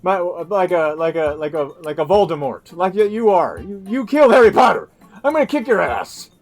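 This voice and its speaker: A man's voice: sits high, lively intonation, moderate pace, soft background music. A man playing a cranky, heckling ninja in an improvised comedy skit, sputtering and repeating himself. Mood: indignant.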